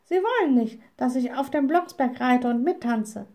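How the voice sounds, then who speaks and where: Little witch for a children's storybook, woman reader acting: very high voice, hot-tempered, indignant, headstrong. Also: expressive, moderate pace, a little mellow. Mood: sulky, indignant. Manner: stubborn, indignant. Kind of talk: storybook character voice.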